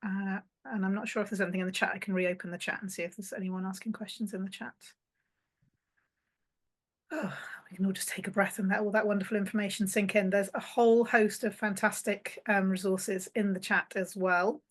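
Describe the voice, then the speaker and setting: A woman's voice: medium pitch, flat delivery, brisk. A woman in her thirties moderating an online panel from a home study, talking encouragingly over a video call. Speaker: panel host. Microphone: laptop webcam on a video call.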